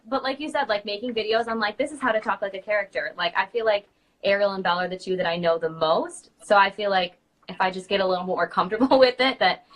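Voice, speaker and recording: A woman's voice: mid-range voice, a bit bright, poor audio. A young adult woman in a casual chat, sharing tips as a guest. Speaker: guest, princess party performer.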